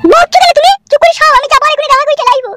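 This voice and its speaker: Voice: very high-pitched, rapid-fire, heavy background noise. A performer voicing a touchy, stubborn young village wife in a comic cartoon, complaining shrilly with a rural West Bengal accent. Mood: stubborn, snappy.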